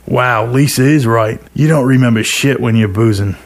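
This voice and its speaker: Guy doing a young bar buddy in a story, cocky, slangy, always needling, voice pitched up. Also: normal speed, lightly acted. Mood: breezy, cocky. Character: drinking buddy, young man, cocky, teasing pool player.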